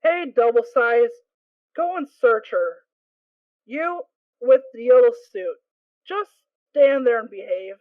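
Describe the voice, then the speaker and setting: A woman's voice: on the high side, animated, slow, no room sound. A woman playing a wary stallion guard pony who gives curt, suspicious commands. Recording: tinny phone-like sound.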